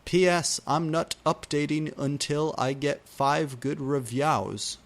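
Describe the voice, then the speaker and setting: A man's voice: a little high, slow. A man voicing a defiant teenage girl fanfiction author, whiny and indignant, with slangy mispronunciations. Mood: petulant.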